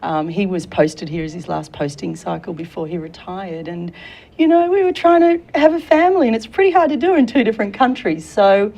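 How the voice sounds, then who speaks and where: A woman's voice: medium pitch, expressive, brisk, creaky. An Australian woman in her forties, an enthusiastic career consultant talking as a guest in a studio interview. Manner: warm, enthusiastic, laughs often.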